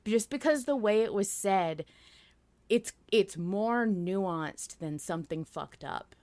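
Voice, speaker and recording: A woman hosting a casual fan podcast, talking in an excitable, emphatic way and swearing freely. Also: mid-range voice, takes it slowly. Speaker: podcast host.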